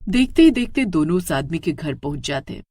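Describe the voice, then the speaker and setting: A woman's voice: low voice, sing-song, a little fast, mellow, breathy edge. A woman narrates a scripted horror story in a dramatic, suspenseful way.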